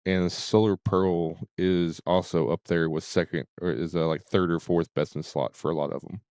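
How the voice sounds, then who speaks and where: Low-voiced man, casual game streamer. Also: steady tone, a little mellow, clear, resonant tone, no room sound. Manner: halting, repeats and stutters on words.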